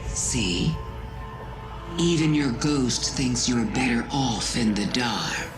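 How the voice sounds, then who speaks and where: A woman's voice: rumbling low, lively intonation, over music, full character voice. A woman playing a cunning alien witch queen who poses as a caring friend, silky and taunting in a game cutscene. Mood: smug, silky. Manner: slow, silky, taunting, deliberate. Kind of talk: dramatic game cutscene acting.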